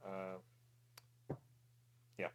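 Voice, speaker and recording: A middle-aged man in relaxed, informal conversation as a podcast co-host. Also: very slow, slight background noise. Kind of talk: casual chat.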